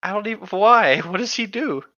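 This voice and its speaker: A man's voice: high-pitched, lively intonation, moderate pace, pushed and bright, dry recording. A man co-hosting a casual chat with terse, blunt reactions, recorded on a slightly dull microphone.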